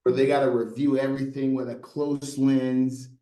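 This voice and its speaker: Animated storytelling man, mellow tone. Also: medium pitch, moderate pace, echoey room. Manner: animated storyteller, rhetorical "right?" and asides. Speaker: guest, brand designer. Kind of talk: casual interview chat.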